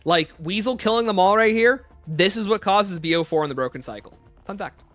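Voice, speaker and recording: A man's voice: sits high, fairly quick, a bit bright, faint music behind. A man hosting a casual livestream, reading out chat questions and answering them conversationally, breaking off to greet and thank viewers. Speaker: livestream host.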